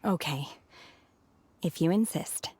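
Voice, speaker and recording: A woman's voice: low voice, slow pace, heavy background noise. A woman playing an aloof, solemn young hermit in a video game, reserved, subdued and formal in speech. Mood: subdued, weary.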